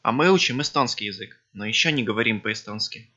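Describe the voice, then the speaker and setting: A man with a bright, forceful voice reads scripted textbook dialogues for a language course. He speaks clearly and evenly. The recording sounds dull and lo-fi. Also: on the low side, lively intonation, fairly quick. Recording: dull lo-fi audio.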